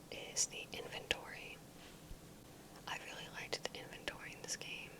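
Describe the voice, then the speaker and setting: Softly whispering woman, ASMR style. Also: poor audio. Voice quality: breathy unvoiced whisper. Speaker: ASMR gaming video creator. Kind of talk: whispered ASMR talk reflecting on a video game.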